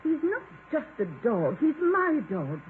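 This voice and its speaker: Haughty, high-voiced rich matron on radio. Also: female voice, huge pitch swings, measured pace, narrow, tinny audio, heavily acted. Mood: distraught, doting. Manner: haughty, melodramatic. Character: imperious, easily wounded wealthy older female benefactor. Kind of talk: 1950s radio acting. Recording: thin vintage radio recording, faint noise.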